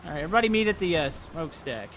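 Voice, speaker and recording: High, bright-voiced man gaming. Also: lively intonation, very fast, a little hiss or hum. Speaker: gamer in group roleplay, giving directions. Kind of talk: casual multiplayer gaming chat. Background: game vehicle sounds.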